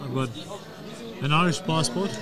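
A man's voice: fairly high pitch, normal speed, over a noisy crowd. A young South African man, a primary school teacher, chatting easily with a lot of ums at an outdoor bar. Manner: easygoing, hesitant with fillers. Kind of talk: casual podcast chat. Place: outdoor bar terrace at night. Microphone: table mic on stand.

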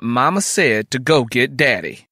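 A man's voice: on the high side, wildly swooping pitch, normal speed, bright, forceful tone, slightly rough, no echo, clean studio sound, pitched above the performer's own voice, full character voice. A man voicing a bossy, anxious girl, insistent and scolding, in an audiobook. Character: bossy, anxious Southern girl, older sister Mary. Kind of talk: dramatised audiobook dialogue.

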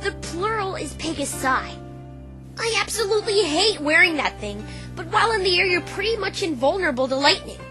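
A woman's voice: very high voice, animated, fairly quick. A woman voicing a brash, defensive young female pegasus pony in a fan dub, with a casual, cocky delivery. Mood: grumbling. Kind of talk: fan dub voice acting of a visual novel. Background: music.

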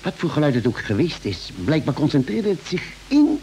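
Caring ship's doctor, lively intonation. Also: male voice, on the high side, brisk. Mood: explaining. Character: calm, caring male spaceship doctor, gently firm. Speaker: radio drama actor. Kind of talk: vintage Dutch radio play acting. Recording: hissy old radio drama recording.

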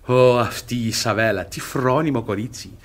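An actor playing a smug, jealous, gullible middle-aged guardian in a theatrical radio comedy, excitable and repeating words. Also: male voice, mid-range voice, close to an everyday voice. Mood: smug admiring.

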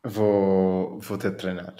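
A man's voice: low voice, flat delivery, takes it slowly, dark tone, slight background noise. A man with a European Portuguese accent, co-hosting a casual podcast chat, hesitant and repeating words as he argues his opinions. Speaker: podcast co-host. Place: remote recording.

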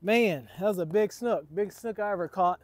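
A man's voice: sits high, normal speed, dry recording. A middle-aged man hosting an outdoor fishing vlog, talking casually to his viewers from a waterfront dock. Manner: chatty, addresses viewers as guys. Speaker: fishing channel host. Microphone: camera on a tripod, sometimes selfie-held.

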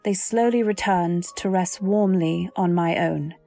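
An actress playing a polite, timid young wife who narrates her story in an earnest, measured way in an old-time radio drama. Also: lowish voice, gravelly, mellow, soft background music. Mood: reflective.

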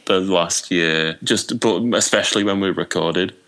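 A young man with an English accent and a very low voice, chatting casually as a podcast guest from his bedroom, rambling with lots of fillers. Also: a little slow, fairly bright tone. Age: young adult. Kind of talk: casual chat.

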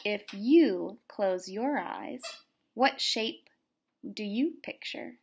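A woman reading a children's storybook aloud expressively, with deliberate, dramatic phrasing. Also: mid-range voice, animated, vocal fry, a little hiss or hum. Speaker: storybook reader, teacher. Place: room at home.

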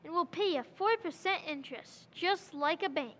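Very high, bright woman as an eager teen boy, pleading, long reverb in the room. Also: normal speed. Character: eager teenage boy, enterprising and earnest. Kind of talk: old-time radio play recreation.

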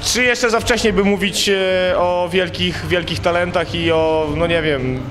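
A man's voice: high-pitched, measured pace. A man in his thirties interviewing for a web TV channel, asking questions hesitantly with fillers, holding a handheld mic in an indoor event hall.

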